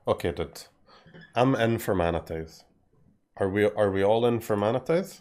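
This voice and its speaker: A Scottish man talking through his game as he plays, in a lowish voice. He thinks out loud, trails off into quiet mutters and keeps clearing his throat. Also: expressive, unhurried. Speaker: game streamer. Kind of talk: casual live commentary while playing.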